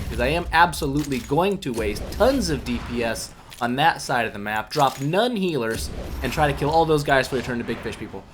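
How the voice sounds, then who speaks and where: Stuffy-nosed guy rambling thru a phone game, lots of ums, middle pitch, lil background hiss. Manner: relaxed, rambling, many "um"s, self-mocking asides. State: congested from a cold. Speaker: gaming YouTuber. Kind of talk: casual gameplay commentary.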